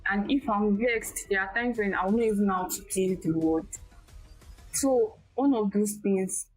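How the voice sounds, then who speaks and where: A young woman with a small, quiet voice, speaking haltingly with restarts as a guest in a casual interview. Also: medium pitch, faint music behind, poor audio. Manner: soft-spoken, halting with restarts. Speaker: guest, tech skills tutor.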